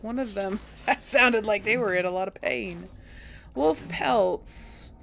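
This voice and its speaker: A woman's voice: medium pitch, slow pace, creaky, fairly bright tone, slight background noise. A young woman casually commentating as she plays a video game, chatty and amused, thinking aloud. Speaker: let's play host.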